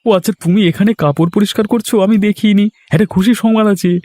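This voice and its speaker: Man acting a quick-talking young village son. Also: high-pitched, mellow, no room sound. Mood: happy, eager. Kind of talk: Bengali moral-story cartoon voice acting.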